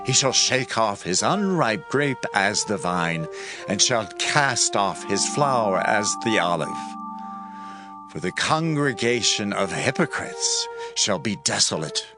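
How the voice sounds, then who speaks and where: An actor playing a solemn accuser warning of doom, measured and declamatory, in a dramatized Bible reading. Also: male voice, lowish voice, sing-song. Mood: solemn, foreboding. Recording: loud music over voice. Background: music.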